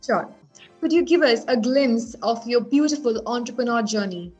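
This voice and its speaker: A woman's voice: on the high side, normal speed, darkish tone, dull audio, faint music behind. A woman host brought up in the UAE, asking questions hesitantly with many fillers. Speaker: interviewer, host. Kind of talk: interview questions.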